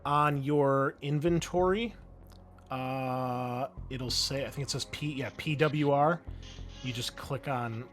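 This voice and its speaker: Man, game master, mid-pitched voice, explanatory, pausing to think, tabletop roleplaying session, faint music behind. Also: fairly bright tone.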